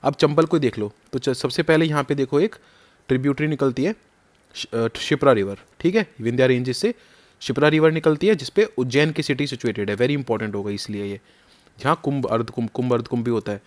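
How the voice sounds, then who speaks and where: A man teaches a map lesson for exam prep at a middle pitch. He quizzes the listener as he goes and repeats the key names. His throat is a little sore. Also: a little fast.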